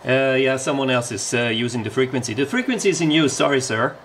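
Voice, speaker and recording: A man's voice: mid-range voice, fast, fairly bright tone, a little hiss or hum. A man making a casual amateur radio contact, speaking politely and using radio procedure words.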